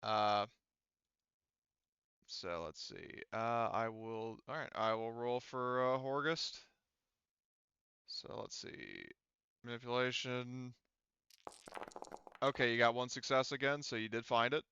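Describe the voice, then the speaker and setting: Man with smooth, slightly muffled voice, game mastering. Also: mid-range voice, sharp tone, dry recording. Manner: halting, thinking aloud through sums. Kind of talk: running an online tabletop game, casual. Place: remote online voice call.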